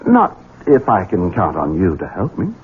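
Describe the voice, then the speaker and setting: A tinny, hissy old radio recording carries a low-pitched, swooping voice: a sinister grown son and heir. He is scheming, coldly cruel and theatrically taunting in classic radio-play style. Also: wildly swooping pitch, moderate pace. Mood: sly, insinuating. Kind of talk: 1970s radio drama acting. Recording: tinny, band-limited 1970s radio with hiss.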